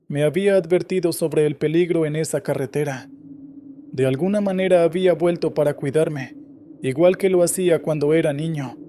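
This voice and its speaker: A man reading scripted first-person horror stories in a steady, measured way. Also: on the high side, slight background noise. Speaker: horror story narrator.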